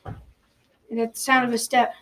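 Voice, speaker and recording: A noisy recording, a little distant from the mic, carries a young teenage girl's narration. She reads a scripted folktale in a fairly high voice. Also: little pitch movement, unhurried. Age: young teen. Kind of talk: scripted folktale narration for school radio drama.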